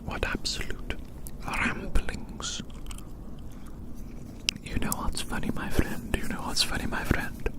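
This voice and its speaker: An ASMR performer whispering a rambling, theatrical roleplay monologue outdoors, softly repeating phrases in wordy language. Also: takes it slowly, pauses often. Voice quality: soft unvoiced whisper. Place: outdoors in a large open field.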